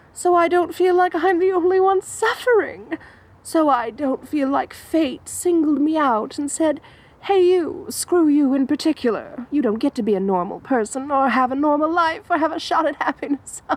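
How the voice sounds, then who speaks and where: A woman voicing an obsessive, theatrical female supervillain in an audio roleplay, dramatic and giggly, swinging between coy and menacing. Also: high voice. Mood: mock tragic. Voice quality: dramatic, giggly, sing-song.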